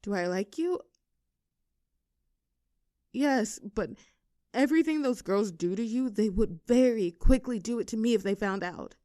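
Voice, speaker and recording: Woman acting snarky high-pitched high school mean girl. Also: lively intonation, measured pace, pauses often, dark tone, dry recording, studio quality. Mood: shy, confessing. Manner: teasing, sarcastic, with flustered stammers when cornered. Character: snarky popular high school cheerleader bully hiding a soft crush. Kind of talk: audio roleplay acting, one side of a dialogue.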